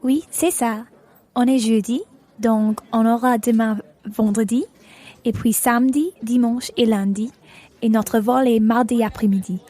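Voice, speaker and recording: A woman's voice: fairly high pitch, fairly even intonation, unhurried, dark tone. A woman playing a polite, agreeable holidaymaker in an educational radio play. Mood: polite, pleased. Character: polite, agreeable female holidaymaker dining with new friends.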